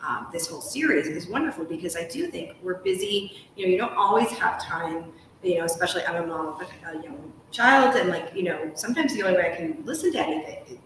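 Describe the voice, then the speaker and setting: A woman's voice: fairly low pitch, big pitch swings, brisk, fairly bright tone. A woman in her thirties hosting a casual live interview, talking in an enthusiastic, rambling way. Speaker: interview host. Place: hotel lounge with armchairs. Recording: echoey room, far from mic, noisy.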